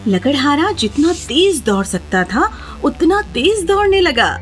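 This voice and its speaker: A woman's voice: high voice, sing-song. A woman narrating a children's fairy tale from a script as the storyteller.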